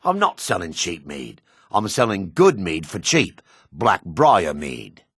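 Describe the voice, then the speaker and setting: A man voicing a gruff, wary male dark elf townsman in a fantasy video game, with a low, husky voice. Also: wildly swooping pitch, slow, dry recording, clean studio sound, exaggerated voice. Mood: sly.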